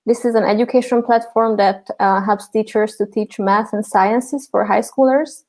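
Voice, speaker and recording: A woman's voice: medium pitch, fairly even intonation, normal speed, darkish tone. A woman from Hungary, introducing herself casually on a video panel, with fillers and small laughs. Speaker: panelist, UX designer. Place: home room with balcony door.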